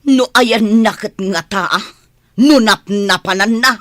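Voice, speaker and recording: A woman's voice: mid-range voice, slow pace, fairly bright tone, no echo. A woman playing an irritated village wife who scolds and complains in an Ilocano comedy radio drama. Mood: nagging. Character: nagging, irritated village wife scolding her lazy husband.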